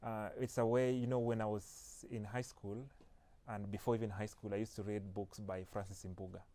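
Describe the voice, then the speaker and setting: Kenyan male author guest, low voice, halting casual delivery. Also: little pitch movement, dark tone. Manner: hesitant, restarts often, laughs lightly. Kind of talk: casual interview.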